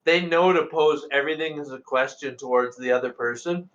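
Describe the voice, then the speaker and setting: A man's voice: mid-range voice, a little fast, a bit bright. A man in a casual reaction chat, blunt and profane, rambling with lots of "like.". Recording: dull lo-fi voice call.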